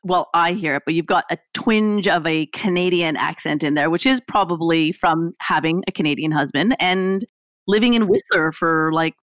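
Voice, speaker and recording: A woman hosting an interview, asking relaxed questions with an enthusiastic, friendly manner. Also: fairly low pitch, normal speed, dry recording, studio quality. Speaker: podcast host, interviewer. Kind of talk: casual interview chat.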